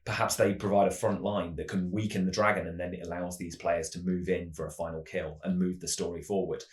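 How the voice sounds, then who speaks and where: Male tabletop game presenter, low-pitched, measured explanatory storytelling, roomy echoing sound. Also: level tone. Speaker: tabletop roleplaying game channel host. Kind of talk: semi-scripted explanatory narration.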